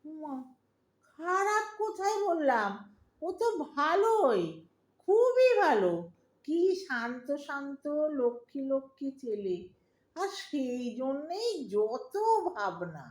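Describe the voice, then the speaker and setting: A woman's voice: high voice, sing-song, slow, exaggerated voice. A woman playing a fretful, old-fashioned middle-aged Bengali mother in an audio drama, plaintive and scolding, with a Bengali accent. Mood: complaining. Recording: roomy, echoing audio drama recording.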